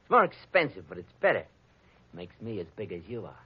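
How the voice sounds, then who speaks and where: A man's voice: lowish voice, sing-song, a little fast, slightly rough, exaggerated voice. An actor playing a smug little gunman who threatens with taunting, mock politeness, in 1940s radio crime drama style. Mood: smug menace. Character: menacing little gunman, smugly polite henchman. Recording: dull, band-limited old radio recording.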